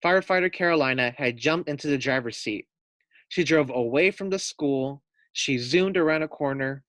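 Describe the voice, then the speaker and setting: Male teacher reading a picture book to small children: medium pitch, expressive, engages listeners directly, dry sound. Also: normal speed, no room sound. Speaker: teacher reading a storybook aloud. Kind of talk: children's read-aloud with questions to pupils.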